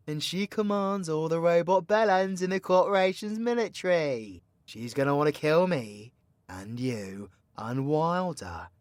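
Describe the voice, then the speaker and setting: A man's voice: medium pitch, fairly bright tone, no echo. A British man playing a cocky, foul-mouthed supervillain in an audio roleplay, talking in a slangy, mocking, theatrical way to an ally. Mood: scheming, mocking. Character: cocky, foul-mouthed male supervillain plotting mayhem, teasingly mentoring ally. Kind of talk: villain roleplay audio drama, intimate monologue to listener.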